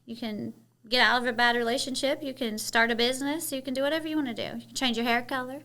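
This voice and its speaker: A woman's voice: fairly high pitch, fairly even intonation, fast, sharp tone. A woman from Kentucky with a Southern twang, chatting openly as a podcast guest and using many "you know" fillers. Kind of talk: casual interview chat.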